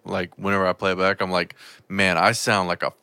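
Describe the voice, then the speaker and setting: Brief: male podcast guest, deep resonant voice, loose rambling chat, dry close mic. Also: little pitch movement, a little fast, sharp tone, no echo. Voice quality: deep voice, throat often raspy. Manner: rambling, swears, stammers on restarts. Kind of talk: casual chat.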